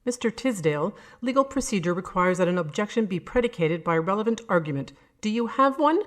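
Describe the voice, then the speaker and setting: A woman's voice: medium pitch, expressive, a little fast, close to an everyday voice. A stern courtroom judge, read by a woman, speaks in formal, admonishing sentences. It is a character in a narrated short story.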